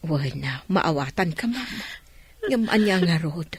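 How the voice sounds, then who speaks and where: A woman's voice: fairly low pitch, expressive, takes it slowly. A woman acting a kindly, consoling older household nanny in an Ilocano radio drama, with a Filipino Ilocano accent.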